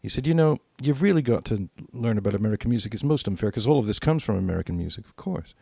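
An older British man with a low, gravelly voice tells personal stories in podcast conversation. He leans on repeated phrases for emphasis, and the recording is close and dry. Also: animated, fast talker, warm tone, no echo. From Britain. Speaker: podcast co-host.

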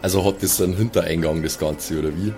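A man's voice: low voice, quick pace, mellow, faint music behind. A man, chatting casually as a player in an improvised role-playing game. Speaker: tabletop player.

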